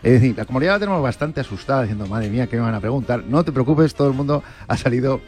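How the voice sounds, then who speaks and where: A man hosts an interview at a brisk clip, joking around and throwing out theatrical exclamations. Faint music sits underneath his voice. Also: medium pitch, lively intonation, darkish tone. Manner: jokey, theatrical, emphatic exclamations. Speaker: podcast host. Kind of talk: playful interview chat.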